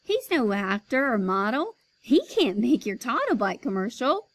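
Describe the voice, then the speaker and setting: A woman voicing a calm, firm father. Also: fairly high pitch, moderate pace. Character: patient but firm father, an ad man.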